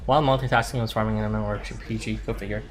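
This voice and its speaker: A man with a hoarse voice chatting casually and thinking aloud while he plays a game. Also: lowish voice, fairly even intonation, racing. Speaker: game streamer. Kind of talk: casual chat while gaming. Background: music.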